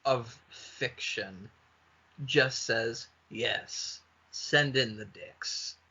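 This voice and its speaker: A man hosting a casual comedic podcast, riffing excitably and swearing freely. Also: medium pitch, extremely slow, breathy edge, lo-fi sound. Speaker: podcast host.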